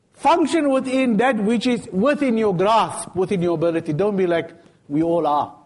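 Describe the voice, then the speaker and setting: A man giving a sermon in a mosque, telling stories casually in everyday speech. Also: high voice. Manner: storytelling, retelling scenes in everyday speech with lively quoted dialogue. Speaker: sheikh giving a Friday talk.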